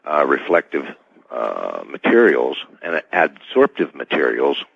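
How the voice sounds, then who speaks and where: Extremely deep-voiced man, dull radio sound. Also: huge pitch swings, measured pace, gravelly, breathy edge, no room sound. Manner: hesitant, formal, technical wording. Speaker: expert guest, scientist and inventor. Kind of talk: technical explanation in a radio interview. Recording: dull band-limited call-in radio sound.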